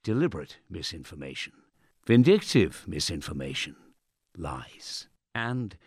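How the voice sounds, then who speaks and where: An actor playing a smug, sardonic state radio host in a dark audio drama, theatrical and menacingly polite with pompous presenter patter. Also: male voice, low-pitched, no room sound, clean studio sound.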